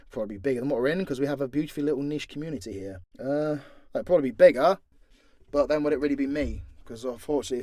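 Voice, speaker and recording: A man's voice: medium pitch, warm tone, no room sound. A man chatting casually and off the cuff as an audio creator answering questions, blunt, informal and sweary. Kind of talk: casual, unscripted Q&A chat.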